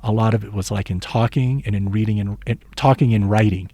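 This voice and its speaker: A man's voice: on the low side, fairly even intonation, fairly quick, warm tone, dry recording. A man in his sixties, originally from the Philippines, giving thoughtful, earnest interview answers as an education professor and telling stories from his teaching. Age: 60s.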